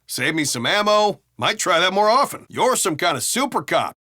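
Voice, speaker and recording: A foul-mouthed, griping city cop with an American accent in a video game. His pitch sits a little high for a man and moves in lively swings. He talks in wry, casual banter, recorded dry and close to clean. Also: moderate pace, no echo, full character voice. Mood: animated, incredulous.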